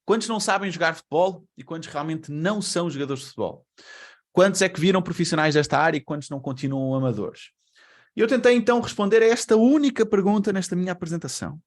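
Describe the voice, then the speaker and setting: Man, European Portuguese accent, fairly high voice, webinar speaker, chatty and full of rhetorical questions, on a video call mic. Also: animated, quick pace. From Brazil. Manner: conversational, asks rhetorical questions. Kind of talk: presentation to an online audience.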